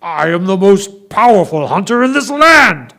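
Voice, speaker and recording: A man's voice: high-pitched, sing-song, a little slow, creaky, sharp tone, voice pushed up, exaggerated voice. A man voicing a mighty, proud lion king for a storytelling, bellowing imperiously and desperately. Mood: angry, proud.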